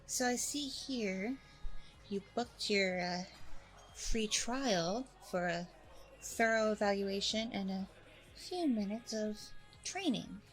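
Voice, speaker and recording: A woman acts out a smug female boss of an alpha training center in an audio roleplay. She talks in a breezy, chatty way with polished front-desk politeness and plenty of self-satisfaction. Her voice sits at a medium pitch, with music behind it. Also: expressive, slow, low-quality recording. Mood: breezy, polite. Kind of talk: ASMR roleplay, casual chatty delivery.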